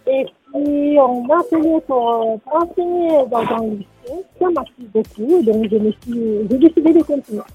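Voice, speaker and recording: A woman's voice: sits high, normal speed, few pauses, breathy edge, soft background music. Italian woman on a tinny phone line. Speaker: teacher. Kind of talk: phone interview.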